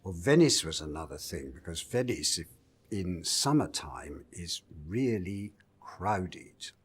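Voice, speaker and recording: Lively-pitched man telling a shoot story. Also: slow, breathy edge. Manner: laughing, expressive storytelling. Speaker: filmmaker. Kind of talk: interview anecdote.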